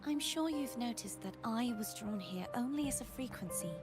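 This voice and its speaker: A woman voicing an enigmatic, composed witch in a video game, speaking in a measured, poised way. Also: fairly high pitch, animated, music in the background, close to an everyday voice. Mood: solemn. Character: enigmatic, composed witch who lost her powers.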